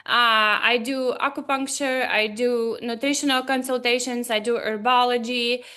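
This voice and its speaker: A woman in her forties from Latvia with a Latvian accent, a guest on a video call speaking animated, slightly broken English. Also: a little high, moderate pace, smooth. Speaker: guest, Chinese medicine doctor. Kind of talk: casual interview talk.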